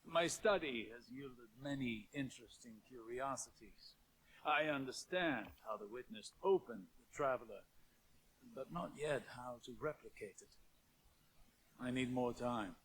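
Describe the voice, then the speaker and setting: A man's voice: medium pitch, animated, unhurried, a bit bright, poor audio. A man playing a learned, cautious scholar in a video game, with a grave, theatrical delivery and weighty emphasis. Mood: intent. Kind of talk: dramatic game cutscene dialogue.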